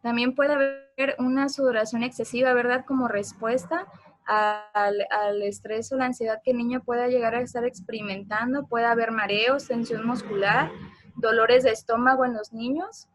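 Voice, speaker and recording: A woman's voice: on the high side, steady tone, moderate pace. A woman presenting an informal online lecture, explaining things and often checking for agreement with short tag questions. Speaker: presenter of a parenting talk.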